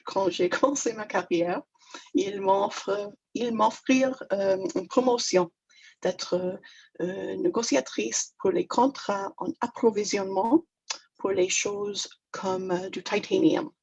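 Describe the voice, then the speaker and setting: A woman's voice: mid-range voice, takes it slowly, gravelly, darkish tone, lo-fi sound. A woman from Toronto with an English Canadian accent, speaking French as a second language in a webinar, hesitant and self-deprecating with many fillers. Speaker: negotiation trainer. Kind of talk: webinar presentation.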